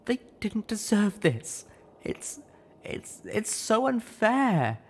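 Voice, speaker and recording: A man voicing a gentle, heartbroken female pony in a choked, halting, sorrowful audiobook character voice. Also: high-pitched, sing-song, breathy edge, voice pushed up, heavily acted. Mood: tearful grief.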